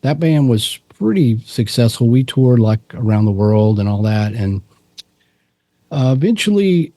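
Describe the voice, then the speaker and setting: A middle-aged man from Texas with a low voice, chatting as a podcast guest in a relaxed, rambling way with frequent restarts. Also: unhurried, no echo. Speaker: podcast guest, musician and cryptid researcher. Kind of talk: casual interview storytelling.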